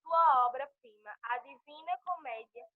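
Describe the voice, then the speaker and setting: A woman's voice: high voice, unhurried, heavy background noise. A teenage girl reading aloud from her textbook as a student in an online class. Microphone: video call. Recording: tinny phone-like video call audio.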